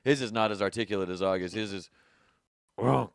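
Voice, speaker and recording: A man hosting a casual comedy podcast, joking and swearing freely with quick asides. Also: on the low side, smooth, dry recording, clean studio sound. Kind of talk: casual chat.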